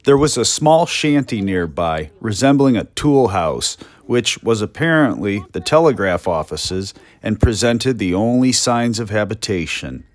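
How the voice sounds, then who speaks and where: A man's voice: lowish voice, animated, unhurried, hardly any pauses. Man performing a declamatory, forlorn young railroad clerk. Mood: forlorn, theatrical. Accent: American. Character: forlorn, indignant young 1890s railroad telegraph employee. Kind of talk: dramatic reading of an old letter.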